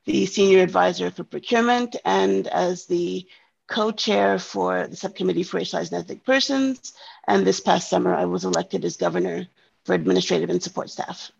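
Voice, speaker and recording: Woman from Montreal, staff member, husky and gravelly, casual, on a lo-fi recording. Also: fairly low pitch, moderate pace. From Montreal, Canada. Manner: relaxed, with frequent "um". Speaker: interviewee, university staff member. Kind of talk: casual self-introduction. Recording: dull lo-fi recording.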